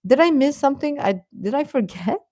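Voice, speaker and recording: A woman's voice: high-pitched, lively intonation, fairly quick, darkish tone, no room sound, studio quality. A woman hosting a livestream, chatting casually and reacting to viewers, often repeating her phrases. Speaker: livestream host.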